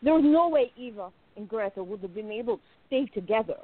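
Actress doing a jealous lovestruck girl reading her diary, radio drama, kinda high voice, tinny lo-fi line. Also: lively intonation, fairly quick. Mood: tender, uneasy. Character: jealous, besotted young woman confiding in her diary. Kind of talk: dramatic first-person diary narration in a radio play. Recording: narrow phone-like lo-fi sound.

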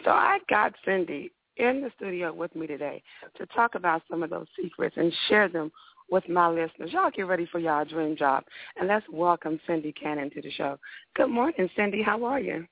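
A raspy woman hosts a casual radio talk show, upbeat and chatty, often repeating words as she goes. Her voice has a gravelly edge. It sounds dry and narrow, like it is coming over a phone line. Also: on the low side, animated, normal speed, no echo. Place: radio studio.

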